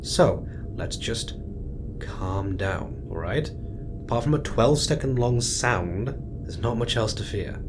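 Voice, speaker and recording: A man playing a stressed astronaut commander in a sci-fi audio drama, steadying the crew in measured, hesitant speech. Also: animated. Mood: calming, uneasy. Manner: measured, hesitant, reassuring with clipped orders. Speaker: mission commander giving orders. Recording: noisy, rumbling background.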